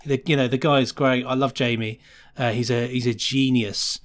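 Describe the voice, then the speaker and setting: Man, mid-pitched, chatty, enthusiastic, casual interview guest. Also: fairly even intonation, normal speed. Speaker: guest sound designer.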